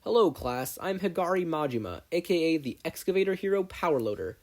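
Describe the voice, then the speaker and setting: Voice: medium pitch, very animated, a little mellow, pitched above the performer's own voice. A performer voicing a businesslike male hero teacher addressing a class in a story reading. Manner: brisk, official, projecting.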